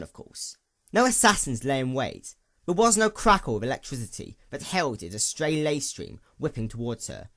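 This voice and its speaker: A man narrating scripted fiction as an audiobook, with a dramatic, deliberate delivery. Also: medium pitch.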